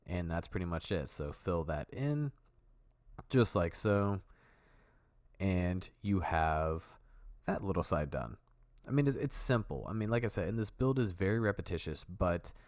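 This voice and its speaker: A man's voice: low-pitched, no echo, studio quality. A man with a cold, sniffling a little, walking through a build step by step in an easygoing, rambling way that corrects itself as he goes. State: sick, sniffly. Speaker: video tutorial host. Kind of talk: casual voice-over walkthrough.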